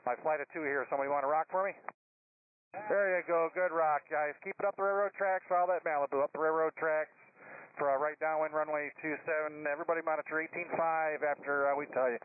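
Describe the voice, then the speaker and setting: Fast-talking male controller over tinny radio. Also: on the high side, fairly even intonation, slight background noise. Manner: directive, informal, encouraging. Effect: radio transmission. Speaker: air traffic controller. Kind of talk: live air traffic radio instructions. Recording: tinny narrowband aviation radio.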